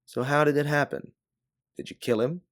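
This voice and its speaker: A man's voice: a little high, big pitch swings, moderate pace, no echo, studio quality, pitched above the performer's own voice. A man voicing a weary, candid stranded ex-pilot in a science fiction audiobook. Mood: curious. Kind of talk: audiobook character dialogue.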